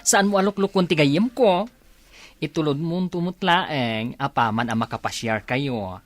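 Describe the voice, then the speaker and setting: An actress playing a nosy, teasing best friend in a radio drama, playful and inquisitive. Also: female voice, low-pitched, a little slow, soft background music. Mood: playful, bossy. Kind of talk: radio drama acting in Ilocano.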